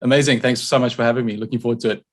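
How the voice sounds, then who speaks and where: A man's voice: mid-range voice, fairly even intonation, very fast. A man in his thirties with a South African accent, explaining things casually as an interview guest, self-deprecating and often checking in with "right?". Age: 30s. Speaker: podcast guest, tech company founder.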